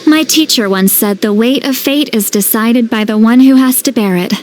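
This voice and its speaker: A woman's voice: a little high, moderate pace, faint music behind, close to an everyday voice. A woman voicing a young female warrior in a video game, encouraging, sincere and loyal.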